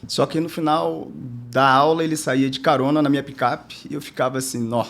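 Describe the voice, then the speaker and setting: Male guest, relaxed storyteller, mid pitch, some restarts. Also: fairly quick, creaky. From Salvador, Bahia, Brazil. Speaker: guest, entrepreneur. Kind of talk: storytelling in podcast interview.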